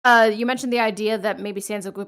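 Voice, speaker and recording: A woman's voice: mid-range voice, steady tone, brisk, fairly bright tone. A woman co-hosting a casual livestream, putting questions to a guest. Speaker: co-host asking questions.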